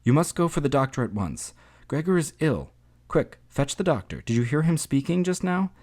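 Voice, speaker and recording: A man's voice: fairly low pitch, expressive, measured pace, darkish tone, breathy edge, exaggerated voice. A man voicing a distraught, tearful older mother in an audiobook story, crying out urgently. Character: distraught weeping mother of a grown son.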